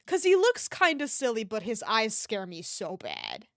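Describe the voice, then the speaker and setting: A woman's voice: a little high, huge pitch swings, a little slow, no room sound, clean studio sound. A woman livestreamer chatting casually and reacting to an online shop with playful, mock-dramatic exclamations.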